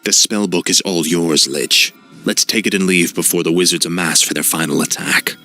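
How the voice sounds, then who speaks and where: A young death knight prince from a fantasy game. His voice is low and full of brooding menace. He is arrogant and sardonic, with music playing underneath. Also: steady tone, normal speed, creaky, sharp tone, heavily acted. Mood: cold menace. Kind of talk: scripted fantasy game acting.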